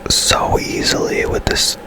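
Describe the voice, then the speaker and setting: A man whispering softly and casually as he gives rambling, unhurried commentary on a video game for ASMR. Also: over loud music. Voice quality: soft unvoiced whisper. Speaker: game streamer.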